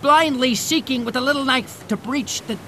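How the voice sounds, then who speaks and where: An actor playing a principled, defiant first mate on a whaling ship, earnest and formal, in a theatrical audio drama. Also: male voice, very high voice, normal speed, pushed and bright, slight background noise. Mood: urgent, recounting.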